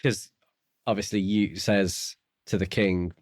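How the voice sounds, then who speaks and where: Deep-voiced man in relaxed book chat. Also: takes it slowly, dry recording, clean studio sound. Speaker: book podcast co-host. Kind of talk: casual discussion of a novel.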